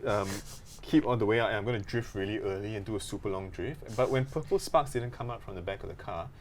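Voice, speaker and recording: A man co-hosting a gaming podcast, chatting casually in a jokey, animated storytelling way. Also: fairly low pitch, quick pace, slight background noise. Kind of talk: casual chat about video games.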